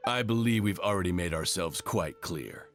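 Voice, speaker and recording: Deep, haughty villainous soldier. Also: male voice, animated, normal speed, a bit bright. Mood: haughty, unyielding. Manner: formal, haughty. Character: stern, condescending male soldier of an invading faction. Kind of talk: video game voice acting. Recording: background music under the dialogue.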